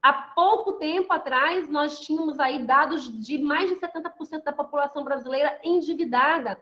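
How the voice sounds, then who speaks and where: A woman's voice: high-pitched, moderate pace, highs cut off. A middle-aged woman from Salvador with a light Bahian accent gives an online talk in a chatty way, talking straight to her listeners and often asking if they agree. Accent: light Bahian Portuguese. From Salvador, Bahia, Brazil. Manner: chatty, addresses listeners directly, many tag questions. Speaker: online lecturer and women's mentor.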